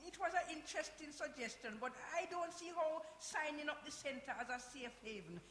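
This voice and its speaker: An actress playing a firm, pragmatic, defensive older community organiser in a radio play. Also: female voice, high voice, lively intonation, a little fast, a bit bright. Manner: firm, defensive, matter-of-fact. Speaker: actor in a live radio play. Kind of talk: radio drama acting before an audience. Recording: noisy, echoey reverberant hall.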